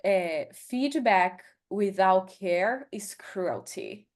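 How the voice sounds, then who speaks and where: A woman's voice: medium pitch, lively intonation, drawn-out words. A middle-aged woman from Brazil with a Brazilian accent, speaking candidly as a podcast interview guest and slipping into English phrases. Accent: Brazilian Portuguese. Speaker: guest, HR executive.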